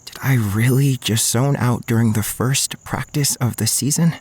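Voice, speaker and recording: Guy acting as a shy dreamy young student, mid pitch, earnest inner monologue for an audio drama. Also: normal speed, darkish tone, slight background noise, natural voice. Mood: dreamy, envious. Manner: introspective, sighing, earnest. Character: self-conscious, dreamy, insecure young male student writer. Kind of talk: first-person audio drama narration.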